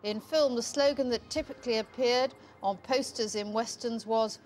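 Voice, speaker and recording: A woman's voice: fairly high pitch, normal speed, a little mellow, slight background noise. A woman hosting a television quiz in a studio, reading questions and rules in a curt, mocking way. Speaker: quiz show host.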